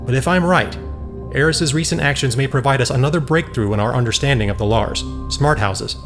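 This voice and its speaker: An actor playing a serious, methodical scientist in an audio drama, explaining technical matters in a measured way. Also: male voice, mid-range voice, over music, close to an everyday voice. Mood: serious, explanatory. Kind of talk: scripted audio drama acting.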